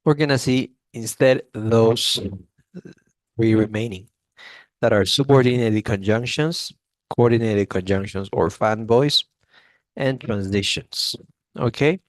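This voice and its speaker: A man's voice: lowish voice, slow pace, long pauses, dry recording, poor audio. A male English teacher giving a classroom grammar lesson, often checking in with "okay?" and restarting phrases.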